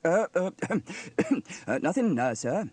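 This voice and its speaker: Man voicing an amiable, animated fireman. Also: high-pitched, fast, no room sound, pitched above the performer's own voice. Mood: sheepish. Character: amiable, boastful male fireman and amateur trumpeter.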